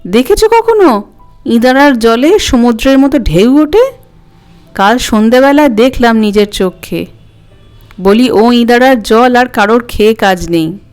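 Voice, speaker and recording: A man's voice: very high-pitched, animated, measured pace, clear, resonant tone, faint music behind. A woman voicing a troubled, sorrowful old male village priest in an audio story. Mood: sorrowful, alarmed.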